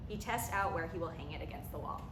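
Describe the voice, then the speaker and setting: A woman's voice: low-pitched, quick pace. A woman reading stage directions as scripted narration at a play table read. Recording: echoey room, clear background noise.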